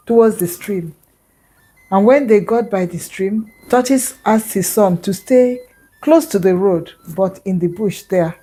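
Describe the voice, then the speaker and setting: A woman's voice: mid-range voice, a little mellow, low-quality recording. A woman with a Nigerian accent narrating an oral folktale conversationally, adding small explanatory asides as a storyteller.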